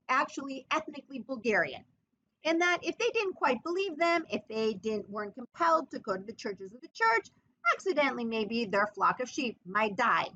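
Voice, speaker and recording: Woman history lecturer, animated and emphatic, slightly high voice, muffled lo-fi sound. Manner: emphatic, expressive storytelling with dramatized quotes. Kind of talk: podcast lecture. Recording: muffled lo-fi recording.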